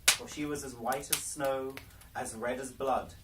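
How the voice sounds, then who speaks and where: A man narrates a fairy tale with theatrical, carefully measured phrasing and a medium pitch. The recording is noisy and sounds slightly distant from the microphone. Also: steady tone. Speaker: library storyteller. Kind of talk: dramatic fairy-tale read-aloud.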